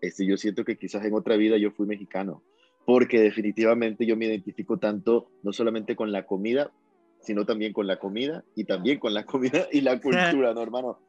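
A man's voice: on the low side, fairly quick, darkish tone, no echo, highs cut off, faint music behind. A young man from Ecuador with a coastal Ecuadorian accent, chatting as an interview guest and rambling with many restarts. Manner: rambling, repeats and restarts words. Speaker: guest musician. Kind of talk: casual interview chat.

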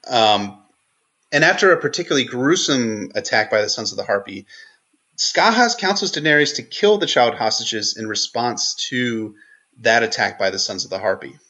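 A man giving conversational analysis of a story as a podcast guest, earnest and often halting as he thinks aloud. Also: mid-range voice, expressive, sharp tone, highs cut off. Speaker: guest co-host on a book podcast.

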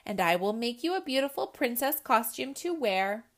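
A woman's voice: fairly high pitch, very animated, moderate pace. A woman voicing a caring mother in a storybook reading, reassuring and firm. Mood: warm. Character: caring mother of a little girl, firm but warm.

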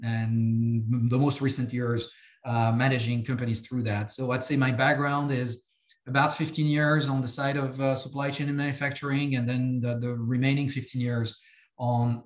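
A man in his fifties from France with a French accent, speaking as a company chief executive guest in a podcast interview, halting with many repeated words and fillers. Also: medium pitch, roomy sound.

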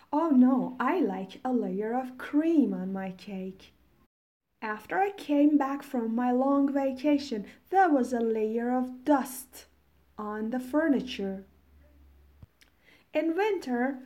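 A woman from Iran, a native Farsi speaker, teaching English vocabulary in a deliberate, explanatory way with definitions and examples. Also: fairly high pitch, darkish tone.

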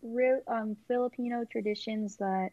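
A woman's voice: on the high side, steady tone, moderate pace, no echo. A young woman chatting casually on a livestream, talkative, with plenty of fillers. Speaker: stream co-host. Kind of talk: casual chat with friends on a livestream. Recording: dull, dry sound.

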